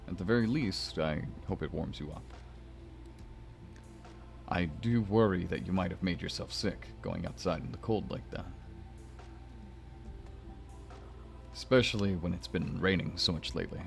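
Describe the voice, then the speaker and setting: A man voicing a kindly, wistful older schoolteacher, deep and wordy, in a visual novel, with music behind. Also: moderate pace, creaky. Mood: fatherly concern. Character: kindly, bemused older male former teacher, wistful widower.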